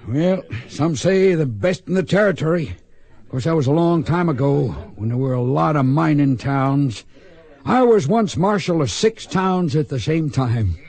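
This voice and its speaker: Casting: humbled old frontier gunfighter, rueful, folksy, slightly high voice, radio western. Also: male voice, lively intonation, normal speed, darkish tone. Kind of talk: radio drama acting, western.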